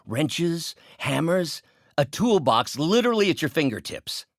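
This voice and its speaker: A man's voice: a little high, sing-song, unhurried, dry recording, clean studio sound. An actor playing a brilliant older male scientist in a video game, articulate and professorial, a mentor with a bitter, menacing edge. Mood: enthusiastic. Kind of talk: dramatic video game voice acting.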